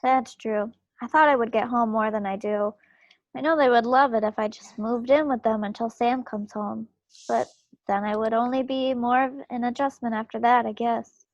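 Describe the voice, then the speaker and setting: A woman's voice: fairly high pitch, steady tone, moderate pace, no echo, lightly acted. Woman playing a plucky 1940s war wife, muffled call. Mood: wistful. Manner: spirited, folksy, determined. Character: plucky stubborn young war wife, railroad worker, 1940s. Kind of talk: play table reading. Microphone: video call. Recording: muffled video-call audio.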